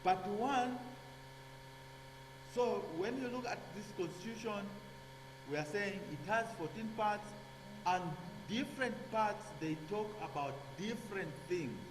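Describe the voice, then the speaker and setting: A man giving a classroom lecture, halting with many fillers and restarts, checking in with "okay?". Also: high-pitched, animated. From Zambia. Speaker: nursing lecturer. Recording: echoey hall with hum.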